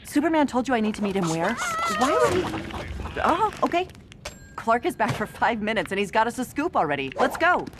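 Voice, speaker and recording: A woman playing a brisk, sarcastic reporter in a video game cutscene, snappy and exasperated. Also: on the high side, very animated, background noise.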